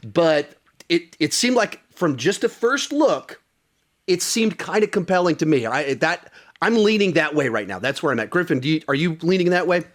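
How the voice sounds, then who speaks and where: A man's voice: a little high, expressive, moderate pace. A man hosting a casual podcast discussion, moderating and handing questions to his co-hosts. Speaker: podcast host.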